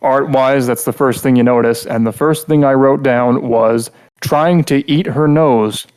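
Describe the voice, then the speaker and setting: A man co-hosting a podcast, talking through a casual critique in a rambling, halting way and often repeating words mid-thought. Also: medium pitch, darkish tone, smooth. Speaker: podcast host reviewing game characters.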